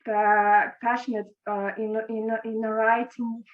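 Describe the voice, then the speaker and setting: A young woman whose first language is Russian, speaking English as an interview guest, halting and restarting her words. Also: a little high, little pitch movement. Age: young adult. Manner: halting, with frequent restarts and stammers. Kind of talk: video call interview. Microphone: video call. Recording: echoey, dull lo-fi video call.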